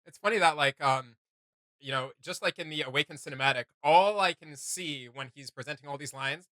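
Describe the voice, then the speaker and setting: A man's voice: a little high, fairly quick, pushed and bright, no echo. A man hosting a reaction video, chatting informally and enthusiastically. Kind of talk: casual reaction commentary.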